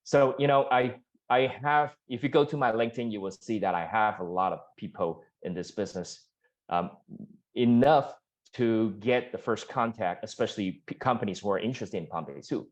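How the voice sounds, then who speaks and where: A man from Taiwan, a startup founder answering investor questions earnestly, with frequent restarts and fillers. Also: moderate pace. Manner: earnest, many restarts and fillers, rises in pitch when animated. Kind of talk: pitch Q&A answers. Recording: dull lo-fi sound.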